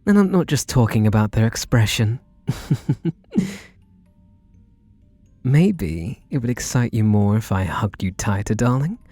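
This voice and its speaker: Man acting as obsessive male butler, teasing, sugary, mellow tone, intimate ASMR roleplay, faint music behind. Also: medium pitch, expressive, slow, long pauses, dry recording. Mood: teasing, sultry. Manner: smooth-talking, sugary endearments, teasing.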